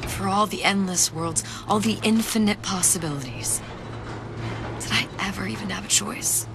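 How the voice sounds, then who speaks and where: A woman's voice: mid-range voice, normal speed, loud music behind the voice, lightly acted. A woman playing a weary, guilt-ridden but determined young woman in a dystopian video game, earnest and introspective. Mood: bitter, reflective.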